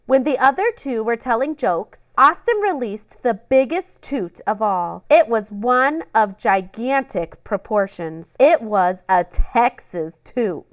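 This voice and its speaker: Animated, higher-pitched woman reading to children. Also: slow pace, few pauses. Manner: animated, dramatic emphasis. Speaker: children's read-aloud host. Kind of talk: expressive storybook narration for kids.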